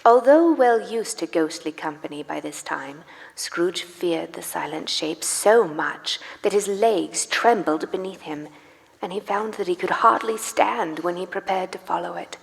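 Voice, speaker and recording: A woman as the solemn storyteller of a live radio play, narrating in a measured, suspenseful and theatrical way. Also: fairly low pitch, creaky, long reverb tail, poor audio. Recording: music under live stage narration.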